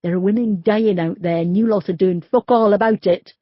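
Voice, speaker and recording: A woman's voice: fairly low pitch, moderate pace, husky, no room sound, lo-fi sound. A woman doing a bitter grieving young man. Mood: bitter, angry. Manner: bitter, confrontational, swearing.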